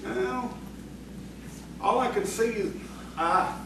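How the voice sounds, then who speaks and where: A man's voice: very high-pitched, slow, gravelly, exaggerated voice. A man playing a deferential, folksy country man in a radio play, hesitant and plain-spoken. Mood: earnest, puzzled. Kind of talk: live radio-play acting. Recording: echoey, distant, noisy live hall recording.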